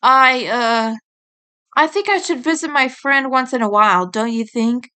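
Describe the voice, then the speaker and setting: A woman's voice: sits high, a little slow, bright, forceful tone, clear, resonant tone. Woman voicing a grieving, self-blaming male fox. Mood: guarded, annoyed.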